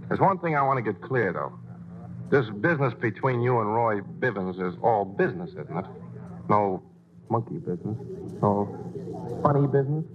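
A man's voice: lowish voice, expressive, normal speed, pauses often, a little hiss or hum, natural voice. An actor playing a breezy, cocky male vaudeville dancer in a 1940s radio play. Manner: breezy, wisecracking. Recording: muffled old radio broadcast.

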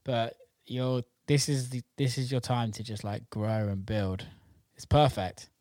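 A man's voice: mid-range voice, flat delivery, measured pace, a little mellow, no echo, clean studio sound. A man hosting a casual interview, chatting informally with plenty of slang and swearing. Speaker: interviewer and host. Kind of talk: casual chat.

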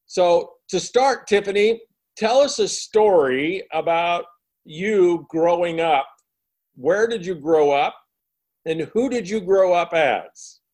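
A man's voice: sits high, animated, slow, darkish tone. Reflective male interview host. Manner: reflective, sums up the guest's points at length.

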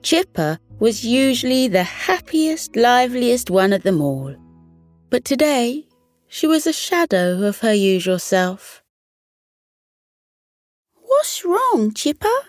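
A woman reading a scripted children's bedtime story in a gentle, unhurried storytelling manner. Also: a little high, sing-song, no room sound, clean studio sound, faint music behind. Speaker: podcast host and bedtime story narrator.

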